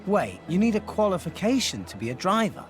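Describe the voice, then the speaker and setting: Curious, high-voiced teenage boy. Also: sing-song, fairly quick. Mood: startled, curious. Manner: questioning, earnest. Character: naive, curious, earnest boy in his teens. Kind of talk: video game cutscene acting. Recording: music behind the voice.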